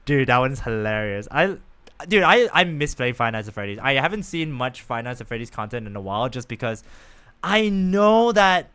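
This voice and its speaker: Lively, smooth-voiced male streamer. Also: medium pitch, normal speed. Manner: rambling, chatty, talks to viewers. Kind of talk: casual gameplay chat.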